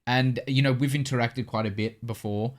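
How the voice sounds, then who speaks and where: A man in his thirties talks easygoing and chatty, stopping to restart his sentences now and then. His voice sits at an ordinary pitch for a man. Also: steady tone, moderate pace. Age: 30s. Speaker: co-host of a reaction channel. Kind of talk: casual chat.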